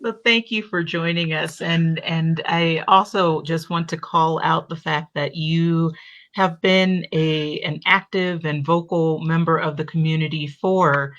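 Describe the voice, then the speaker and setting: A woman with a very low voice who moderates a community panel. She frames her questions in a measured, careful way, pausing to find her words. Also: steady tone, fairly bright tone, lo-fi sound. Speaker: facilitator.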